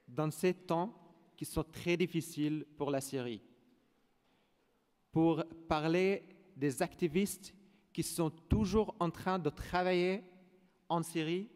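Young male keynote speaker, higher-pitched voice, earnest formal oratory at a podium in a big reverberant hall. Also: darkish tone. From Syria. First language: Arabic. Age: young adult. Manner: measured, earnest oratory with deliberate phrasing. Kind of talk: formal prepared speech. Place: large auditorium, at a podium on stage. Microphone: podium microphone. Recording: reverberant large conference hall.

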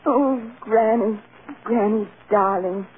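A woman's voice: medium pitch, slow, lightly acted. An actress playing an earnest, starry-eyed young farm girl in a vintage radio drama. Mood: moved. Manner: earnest, polite, eager. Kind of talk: 1930s-40s American radio acting. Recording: muffled, noisy old radio broadcast.

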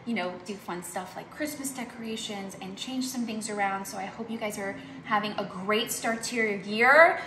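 A woman's voice: fairly high pitch, reverberant, noisy background. A woman in her thirties hosting a casual home tour vlog, gushing and chatty with lots of fillers. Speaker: vlog host. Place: outdoor garden, then indoor home.

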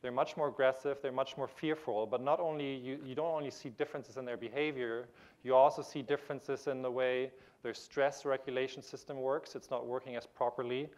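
A man in his thirties delivers a keynote lecture from a large auditorium stage. His lapel mic catches a voice of middle pitch. He explains patiently, often restarting phrases and filling pauses as he moves about. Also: fairly even intonation, a little mellow. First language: German. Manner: explanatory, with frequent restarts and fillers; gestures while pacing the stage. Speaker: keynote speaker. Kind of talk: conference lecture.

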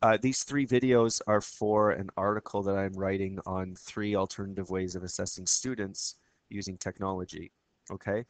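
Man with a cold explaining software. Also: sits low, steady tone, normal speed, no echo. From Canada. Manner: casual, explanatory, with frequent fillers and restarts. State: has a cold, slightly raspy. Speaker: teacher. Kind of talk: screen-recorded software tutorial.

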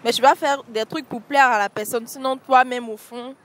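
A woman's voice: a little high, steady tone, normal speed. Young woman, casual street answer. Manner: casual, repeats points with "en fait". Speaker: street interviewee. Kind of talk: vox pop answer. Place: city street at night beside traffic. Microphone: handheld mic held by interviewer.

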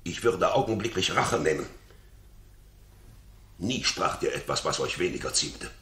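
An honest, dignified courtier in a classical radio drama. The voice is extremely deep yet moves widely in pitch, formal and earnest, in a roomy, echoing sound. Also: male voice, expressive, measured pace, pushed and bright, heavily acted. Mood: indignant. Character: loyal, honest, dignified male courtier and royal counsellor. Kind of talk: 1960s German radio play, classical stage acting.

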